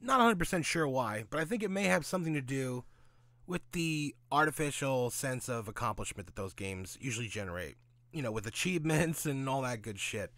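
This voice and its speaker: Animated, blunt man ranting casually. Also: mid-range voice, a little fast, no room sound. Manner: blunt, sweary, sarcastic, asks and answers own questions. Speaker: host of a gaming commentary audio log. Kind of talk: casual opinionated commentary, rant.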